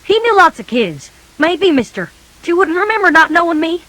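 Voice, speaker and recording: A woman reading a scripted children's story in a folksy, animated storybook manner. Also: very high-pitched, moderate pace, dry recording.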